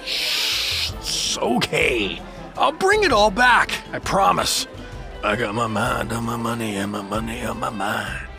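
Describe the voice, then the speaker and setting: A man's voice: medium pitch, sing-song, measured pace, music in the background. A man playing a goofy, boastful, drunken adventurer in a fantasy audio drama, slurring and muddling his words. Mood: giddy, drunk.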